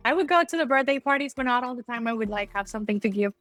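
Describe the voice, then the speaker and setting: Woman from Puerto Rico, higher voice, heartfelt conversational storytelling. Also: expressive, very fast, a bit bright, dry recording, faint music behind.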